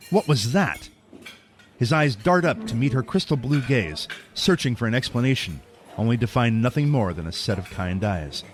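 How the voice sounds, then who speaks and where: A man narrating a scripted audio drama with dramatic, suspenseful storytelling. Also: medium pitch.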